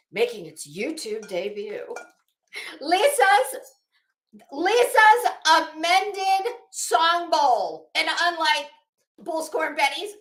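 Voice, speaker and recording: A woman's voice: on the high side, fairly bright tone, roomy sound. A sassy, salty-tongued woman chatting casually and theatrically as she hosts a rambling vlog. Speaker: YouTube host.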